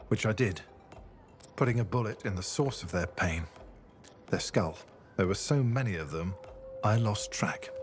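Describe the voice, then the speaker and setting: An actor voicing a video game's haunted defector, a former state assassin with a halting, brooding, confessional delivery. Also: male voice, fairly low pitch, a little hiss or hum, natural voice. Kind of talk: video game character dialogue.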